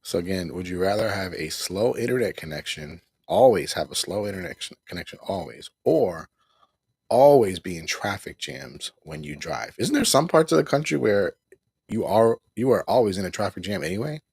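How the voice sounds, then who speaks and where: A man's voice: sits low, big pitch swings, normal speed, vocal fry, darkish tone, breathy edge, dry recording, studio quality. A middle-aged man hosting a casual home livestream, rambling and repeating himself with small chuckles. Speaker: livestream host. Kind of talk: casual live broadcast chat.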